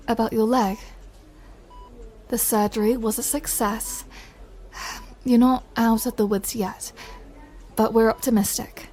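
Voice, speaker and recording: A woman acts as a gentle recovery-room nurse, speaking very slowly and softly. Her voice is fairly high yet dark and mellow, with patient reassurance for an ASMR roleplay. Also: a little hiss or hum, low-quality recording. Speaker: voice actor in an audio roleplay. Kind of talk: soft ASMR comfort roleplay.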